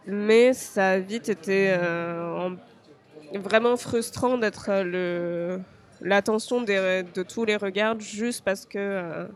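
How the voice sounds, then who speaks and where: A woman's voice: mid-range voice, fairly even intonation, slow. A young woman from France, a podcast guest speaking hesitantly, with frequent fillers and restarts. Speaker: interview guest. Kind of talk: podcast interview.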